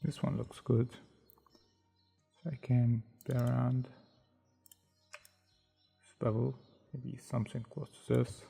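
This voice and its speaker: Man, low-key tutorial host thinking aloud; husky, mellow voice, soft music behind. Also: level tone, slow, pauses often. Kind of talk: casual screen-recorded modeling tutorial. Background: soft background music.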